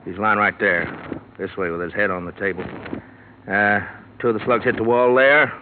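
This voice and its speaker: An actor playing a folksy, plain-spoken small-town sheriff in a radio drama, with a rural Western American accent. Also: male voice, on the low side, fairly even intonation, normal speed, phone-quality sound, close to an everyday voice. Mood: practical. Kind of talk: 1950s radio drama acting. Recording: noisy, band-limited vintage radio broadcast.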